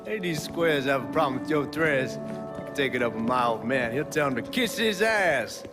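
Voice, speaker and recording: An actor playing a brash young gangster, a mob boss's son, in a video game, jocular and profane, with an American Southern accent. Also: male voice, medium pitch, expressive, moderate pace, natural voice. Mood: cocky. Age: young adult. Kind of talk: cinematic video game acting. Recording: background music under dialogue.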